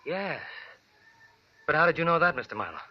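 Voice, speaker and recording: An actor playing an earnest artist and kidnap victim, giving a measured account in 1940s radio drama style. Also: male voice, a little high, very animated. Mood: shaken, earnest. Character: earnest male artist recounting his kidnapping. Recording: dull old radio recording.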